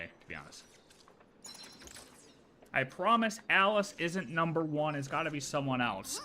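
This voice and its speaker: A young man casually reacting to a game on a live stream, rambling through his theories. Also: mid-range voice.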